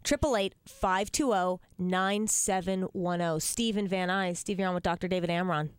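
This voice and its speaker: A woman's voice: lowish voice, moderate pace, clear, resonant tone, no echo, clean studio sound. A woman co-hosting a call-in radio show, chatty and enthusiastic as she introduces callers and adds her own stories.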